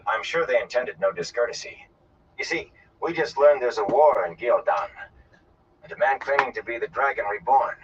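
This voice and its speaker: A man's voice: medium pitch, lively intonation, normal speed, far from the mic, muffled recording, a little hiss or hum, voice pushed up, natural voice. A man voicing a polite, earnest young village lad in an audiobook, a little hesitant in manner. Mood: earnest, apologetic. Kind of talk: audiobook character voice.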